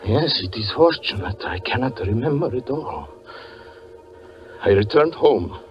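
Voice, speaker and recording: An actor playing a tormented, brooding stage magician in a 1940s radio suspense drama, intense and theatrical with halting dramatic pauses. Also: male voice, mid-range voice, lively intonation, natural voice. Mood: grief-stricken. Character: tormented stage magician confessing jealous obsession, anguished and brooding. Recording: muffled 1940s radio broadcast with music.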